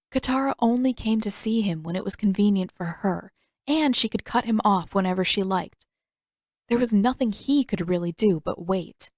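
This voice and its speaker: A woman's voice: mid-range voice, darkish tone, no echo. Woman narrating a story, close dry sound. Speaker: podfic narrator reading fan fiction. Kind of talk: scripted audiobook narration.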